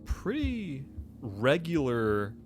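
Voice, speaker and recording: An American man narrating a tabletop game as game master, improvising in a halting, conversational way. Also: mid-range voice, wildly swooping pitch. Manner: halting, conversational, peppered with "like" and "you know". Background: faint music.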